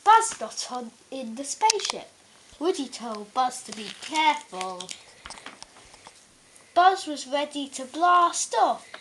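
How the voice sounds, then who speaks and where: A woman reading a children's bedtime story aloud, haltingly, stumbling over words and correcting herself. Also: high-pitched, big pitch swings, a bit bright, slight background noise, rough recording. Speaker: storybook reader.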